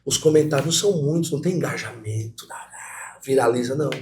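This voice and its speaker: A middle-aged man with a Brazilian Portuguese accent, a guest professor telling stories in a lively conversational interview and speaking straight to the students. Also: mid-range voice, fast talker, a little hiss or hum. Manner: animated, talks to students directly.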